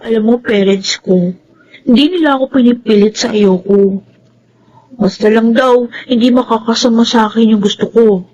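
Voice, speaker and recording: A woman's voice: fairly high pitch, moderate pace, pauses often, darkish tone, lo-fi sound, close to an everyday voice. A woman playing a frank, sympathetic teenage schoolgirl friend in a Filipino radio drama, with a Filipino accent.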